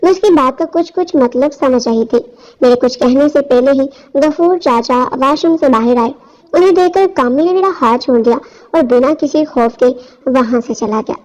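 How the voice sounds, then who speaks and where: A woman's voice: high-pitched, darkish tone. A woman reading a scripted first-person story as its narrator. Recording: dull, lo-fi audio.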